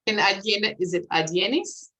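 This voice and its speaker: A woman's voice: mid-range voice, expressive, normal speed, pushed and bright. A woman hosting an online group discussion in an encouraging, explanatory way, talking casually like an academic. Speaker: host of a phonetics club meeting, academic.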